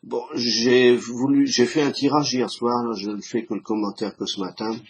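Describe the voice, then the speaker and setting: A hoarse man talks casually in a halting way, with many restarts, while he explains a tarot card reading to his camera. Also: mid-range voice, steady tone. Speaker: tarot reader. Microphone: camera he set up himself.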